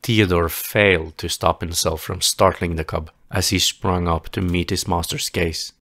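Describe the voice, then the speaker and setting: A man narrating a fantasy story as a scripted audio play, with a solemn, measured, literary storytelling delivery. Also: low voice, fairly even intonation, a bit bright, dry recording, studio quality. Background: music.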